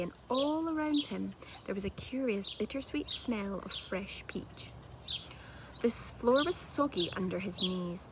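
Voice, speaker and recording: A woman narrating a children's storybook like a teacher reading aloud, with measured, dramatic delivery. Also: mid-range voice. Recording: clearly noisy home-made recording.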